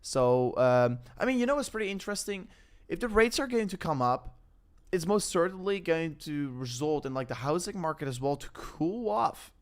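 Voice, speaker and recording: A man's voice: a little high, lively intonation, normal speed. Rambling Dutch-accented man on a livestream. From Netherlands. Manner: rambling, self-interrupting, hesitant with fillers. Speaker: crypto livestream host. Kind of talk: casual live-stream chat.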